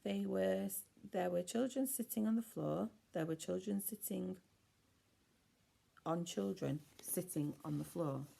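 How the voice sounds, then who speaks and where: A woman's voice: lowish voice, unhurried, gravelly, dark tone. A woman reading a children's storybook aloud expressively, as a teacher does for a class.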